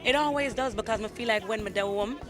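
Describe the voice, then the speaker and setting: A man's voice: high-pitched, fast talker. A man, a performer, answering interview questions with music and a crowd around. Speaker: festival performer being interviewed.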